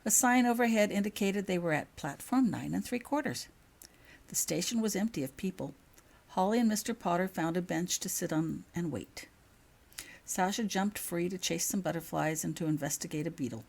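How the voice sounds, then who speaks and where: A woman's voice: on the low side, moderate pace, gravelly, darkish tone. A woman reading her own written story aloud as scripted audiobook narration.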